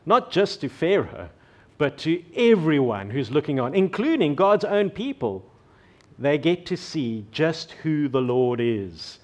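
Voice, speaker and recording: A middle-aged man from South Africa with a South African accent, preaching a conversational sermon and slowing down for scripture quotes. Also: mid-range voice. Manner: conversational, self-deprecating, slows down for scripture quotes. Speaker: pastor.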